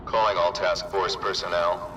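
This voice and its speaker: An actor playing a stern task force commander in a video game, making a clipped, authoritative announcement. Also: male voice, deep voice, flat delivery, moderate pace, lightly acted. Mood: commanding. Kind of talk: video game cutscene acting. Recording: distant voice under very loud music.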